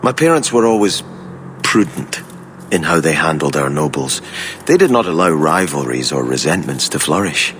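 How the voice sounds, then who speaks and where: Game casting: devout, courteous prince in exile, formal and earnest, lively melodic delivery. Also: male voice, rumbling low, normal speed, slight background noise, heavily acted. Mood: grave, measured. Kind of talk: video game dialogue.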